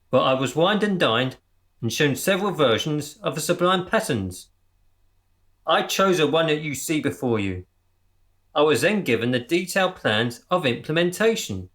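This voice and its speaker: An actor playing a grandiose leader of an amateur crew, speechifying with pompous passion in a radio comedy drama. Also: male voice, a little high, a bit bright. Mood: solemn, confessional.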